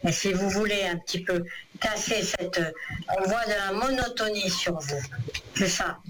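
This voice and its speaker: Hesitant higher-voiced male psychic host. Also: a little slow, background noise. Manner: hesitant, many fillers, reassuring. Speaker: clairvoyant phone-in host. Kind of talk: phone-in psychic reading.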